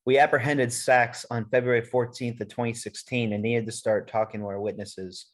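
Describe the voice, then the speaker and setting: A woman playing a formal, steady police detective in an amateur radio play, procedural and deadpan. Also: a bass voice, normal speed.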